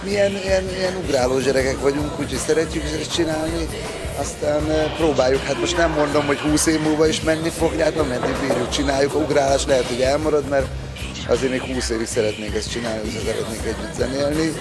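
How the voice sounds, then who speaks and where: A man's voice: fairly high pitch, fairly even intonation. A middle-aged man giving casual interview answers in a modest way, often correcting himself as he goes. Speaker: band musician, interviewee. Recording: loud music behind, outdoor festival. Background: loud music, vehicle noise.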